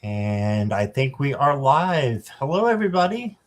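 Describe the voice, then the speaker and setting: A man in his fifties hosting a casual livestream, greeting viewers by name and filling pauses with ums. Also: medium pitch, big pitch swings. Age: 50s. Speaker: livestream host.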